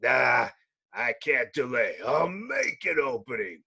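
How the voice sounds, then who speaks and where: Man putting on a gruff, bitter giant monster hero. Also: medium pitch, very animated, slow pace, pushed and bright, full character voice. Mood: impatient.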